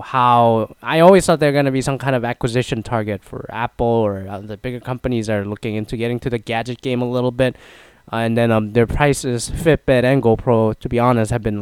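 Nasal stuffy-nosed man, rambling host, smooth clear voice, dry close sound. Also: medium pitch, a little mellow, no room sound. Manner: rambling, self-mocking, many fillers. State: stuffy nose, voice half gone. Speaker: podcast host. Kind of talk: casual solo talk show.

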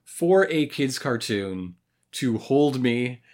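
Animated podcast co-host in their thirties. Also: medium pitch, takes it slowly. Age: 30s. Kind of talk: casual review chat about a cartoon.

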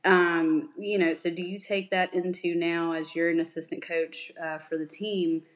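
A woman's voice: on the low side, little pitch movement, moderate pace. A woman hosting an interview, asking long, rambling questions and giving encouraging replies. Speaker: podcast host. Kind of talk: casual interview chat.

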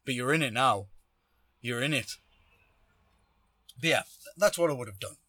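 A man hosting a podcast, telling a personal story in a casual, animated way with chuckles in his talk. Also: mid-range voice, normal speed, no room sound. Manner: animated, acts out shouted lines; chuckles while talking. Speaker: podcast host.

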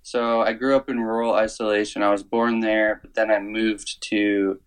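A man in his twenties from Canada, a musician guest talking casually in an interview, low-key and hesitant, with many fillers and restarts. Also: flat delivery, moderate pace, smooth, rough recording. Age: 20s.

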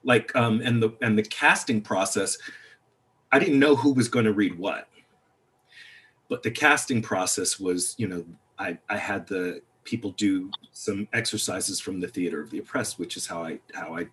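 Halting, reflective man on a video call. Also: lowish voice, a bit bright, a little hiss or hum. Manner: halting, reflective, many restarts. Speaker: playwright. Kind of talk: panel discussion over video call.

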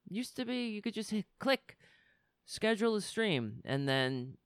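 A woman's voice: a bass voice, no echo, studio quality. A woman hosting a livestreamed political talk show, talking in a chatty, rambling and sardonic way.

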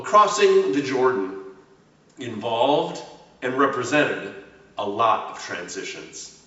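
Male pastor giving a sermon, bright forceful voice, super echoey room, mic far away. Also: medium pitch. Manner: measured, deliberate phrasing with emphatic stresses and falling line endings. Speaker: pastor preaching a homily. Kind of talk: prepared sermon. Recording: very echoey room, distant mic, slightly dull sound.

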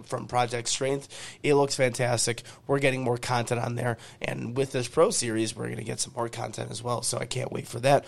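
A man with a hoarse, fading voice hosting a podcast, reading upbeat sponsor ads and repeating promo details. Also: medium pitch. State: hoarse, losing his voice. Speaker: podcast host. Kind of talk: podcast intro and ad reads.

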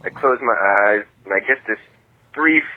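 A man's voice: medium pitch, lively intonation, normal speed. A man leaving a short voicemail question as a caller, recorded over a telephone line. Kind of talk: brief voicemail message.

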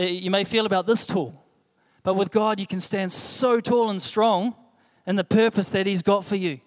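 A man's voice: high voice, a bit bright. A young man with a New Zealand accent preaching a sermon, earnest and conversational, often repeating his phrases. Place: church hall. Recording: echoey hall.

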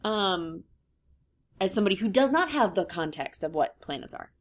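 A woman in her thirties co-hosting a podcast in casual chat, with a lower-pitched voice that slips into vocal fry and a thoughtful, hesitant way of talking with many ums. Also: expressive, normal speed. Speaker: podcast co-host.

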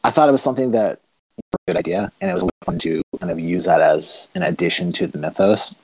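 Fast-talking low-voiced Maritime Canadian man. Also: expressive, creaky, husky, dry recording, low-quality recording. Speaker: comic book writer, podcast guest. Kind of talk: casual interview chat. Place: remote call recording.

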